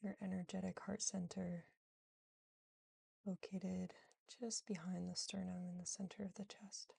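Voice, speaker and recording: A woman guiding a yoga nidra relaxation in a soothing, gentle, unhurried manner with long pauses. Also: on the low side, flat delivery, vocal fry, husky, no echo. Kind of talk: guided relaxation meditation.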